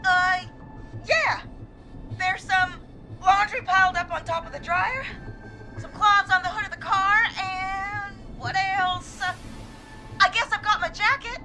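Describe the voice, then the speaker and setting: A woman's voice: very high voice, measured pace, pauses often, music in the background, rough recording. An actress playing a frightened, desperate young woman caller in a video game, pleading, hesitant and apologetic. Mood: nervous, shaky. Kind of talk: dramatic video game voice acting.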